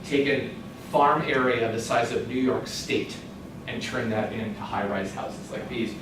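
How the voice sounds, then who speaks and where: American man, forties, author talk, lively storytelling asides, far from the mic, very echoey hall, clear background noise. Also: mid-range voice, a little slow. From United States. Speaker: author giving a book talk. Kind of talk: illustrated lecture to an audience. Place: event room at a cultural institute.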